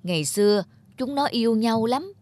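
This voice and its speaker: A woman's voice: a little high, lively intonation, normal speed, darkish tone, natural voice. A woman playing a chatty, well-meaning married neighbour in a cartoon, gossiping with sighs. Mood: regretful. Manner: gossipy, sighing.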